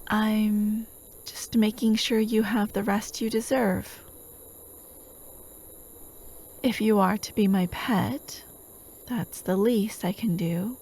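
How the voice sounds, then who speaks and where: A woman playing a slow, soft-spoken doting hypnotist. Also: medium pitch, slightly rough. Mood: gently teasing. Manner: gentle, coaxing, often dropping into whispers. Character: elusive female hypnotist, a doting, possessive, soothing captor. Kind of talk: soft-spoken ASMR roleplay. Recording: steady outdoor night ambience, chirping crickets. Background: crickets and night insects.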